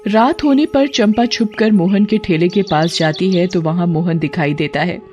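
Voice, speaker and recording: A woman's voice: on the low side, moderate pace, warm tone, soft background music. Woman narrating a cartoon story.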